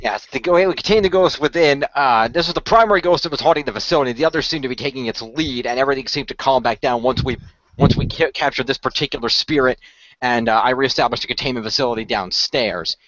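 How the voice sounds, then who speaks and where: A man improvising in-character dialogue in a role-play, explaining things in a halting way. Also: medium pitch, a bit bright, no room sound. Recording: dry, dull lo-fi audio.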